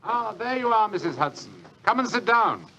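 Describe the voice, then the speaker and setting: An actor playing a courteous, assured British government gentleman in measured 1940s radio style. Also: high voice, sing-song. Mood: genial, reassuring. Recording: noisy, clicking 1940s radio recording.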